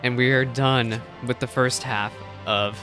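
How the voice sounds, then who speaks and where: A man's voice: medium pitch, steady tone, a little slow, music in the background. A man giving casual, informal and reactive commentary as he streams a game. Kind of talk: casual gameplay commentary, reading dialogue aloud.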